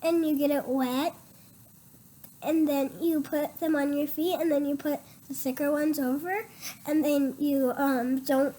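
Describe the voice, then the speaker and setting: A girl with a cough and a stuffy nose, explaining her home remedies in a halting way, full of ums and restarts. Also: sits high, steady tone, warm tone. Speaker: young guest.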